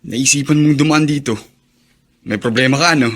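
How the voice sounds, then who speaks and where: A man plays a laid-back, street-smart young pal in a Filipino radio drama. His voice is medium in pitch, casual and frank, with a Filipino accent. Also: normal speed, natural voice. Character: easygoing, street-smart young buddy, frank but helpful.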